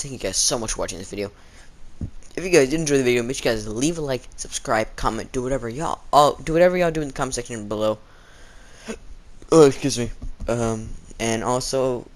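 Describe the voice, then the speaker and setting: A teenage male vlogger chatting casually as he tells a rambling story, acting out the people he quotes. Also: fairly low pitch. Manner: rambling, acts out quoted exchanges.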